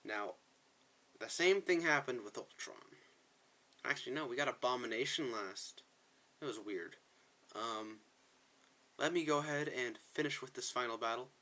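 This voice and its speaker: A man's voice: medium pitch, moderate pace, long pauses, pushed and bright. A man giving casual gameplay commentary as a video host, chatting to viewers in a rambling, self-correcting way.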